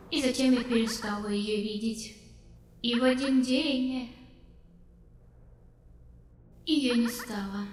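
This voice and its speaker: A woman voicing a young robot girl in a game, polite and wistful, speaking in a childlike, gently confiding manner. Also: on the high side, close to an everyday voice. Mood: sad, reflective. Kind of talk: game character dialogue dub. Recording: roomy long reverb, distant, faint noise.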